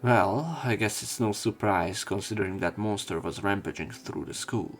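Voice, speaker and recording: A man narrating a visual novel playthrough, reading story text and dialogue aloud with some halting pauses. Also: lowish voice. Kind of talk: scripted narration and character line reading. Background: game music, keyboard typing.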